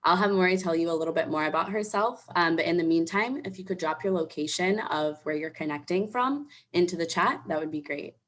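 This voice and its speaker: Low-voiced woman co-hosting online. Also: fairly quick, hardly any pauses. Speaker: co-host. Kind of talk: informal hosting of an online event. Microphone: video call.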